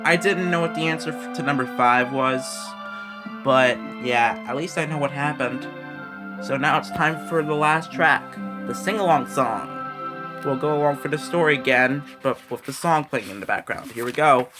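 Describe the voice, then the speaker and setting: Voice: mid-range voice, normal speed, music in the background. Someone chatting casually while playing along with a children's read-along and commenting on it.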